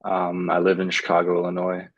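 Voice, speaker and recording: A young man whose first language is Lithuanian, introducing himself slowly over a video call, with some hesitant fillers. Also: low-pitched, completely flat delivery, gravelly, lo-fi sound. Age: young adult. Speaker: student. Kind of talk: self-introduction.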